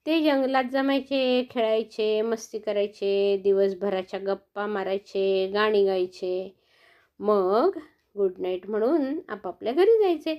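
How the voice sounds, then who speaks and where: A woman's voice: medium pitch, unhurried, lo-fi sound. A woman telling a bedtime story to children in a sing-song, coaxing manner, often asking them questions.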